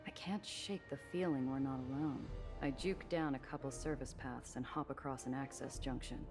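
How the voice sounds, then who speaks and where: Streetwise woman smuggler: low, wry, guarded noir game narration over clear background music. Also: steady tone, moderate pace. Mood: tense, narrating. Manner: dry, world-weary, hardboiled. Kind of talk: noir first-person narration and game dialogue. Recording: music behind the voice.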